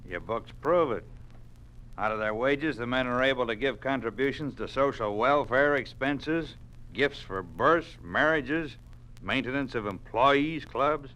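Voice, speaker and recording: Domineering party boss, mid-pitched, curt and doctrinaire, 1950s radio drama. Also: male voice, expressive, unhurried, bright, forceful tone, rough recording. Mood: cold, dogmatic. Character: cold domineering communist party official.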